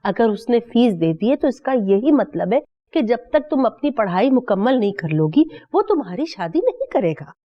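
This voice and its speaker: An actress playing a breezy female elder of the household, husky and offhand, brushing worries aside in a comedy drama. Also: fairly high pitch, very animated, moderate pace, few pauses, highs cut off. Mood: dismissive.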